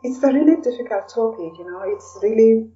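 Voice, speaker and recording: A woman's voice: a little high, animated, brisk, mellow, far from the mic, lo-fi sound. A woman from Kenya talking reflectively as an interview guest, in casual conversation, often tagging her sentences with "you know".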